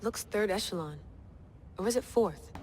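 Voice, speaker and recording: A woman's voice: mid-range voice, normal speed, background noise, natural voice. A woman playing an upbeat, wry young researcher in a video game cutscene, casual and teasing in delivery. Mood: wry, friendly.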